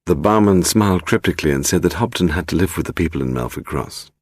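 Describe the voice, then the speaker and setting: A man's voice: low voice, lively intonation, fast talker, dry recording. A man with an English accent reading a scripted mystery story as an audiobook narrator.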